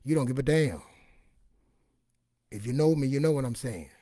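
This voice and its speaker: A raspy-voiced man giving an informal, emphatic personal testimony in a streetwise, repetitive way, acting out bits of dialogue as he goes. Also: medium pitch, expressive, moderate pace, warm tone, no room sound, studio quality. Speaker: online motivational and faith speaker.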